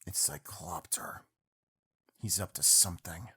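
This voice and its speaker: A man playing a grim, troubled hero in an audio drama, with a husky, hushed and weary delivery. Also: deep voice, unhurried, heavily acted. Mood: grim concern. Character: grim, troubled heroic male rider warrior.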